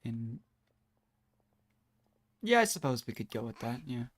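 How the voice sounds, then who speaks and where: A woman's voice: extremely low pitch, very animated, measured pace, slight background noise. A woman doing casual live game commentary, musing and rambling as she plays. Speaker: game streamer. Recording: faint game music behind. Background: video game music.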